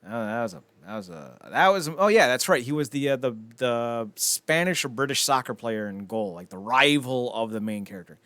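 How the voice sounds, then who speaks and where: A man hosts a casual podcast in a mid-range voice that swings widely in pitch. He rambles through his opinions with casual profanity. The sound is dry, with no room around it. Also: animated, fairly quick, hardly any pauses, pushed and bright, no echo. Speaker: podcast host. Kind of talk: casual podcast chat about films and entertainment news.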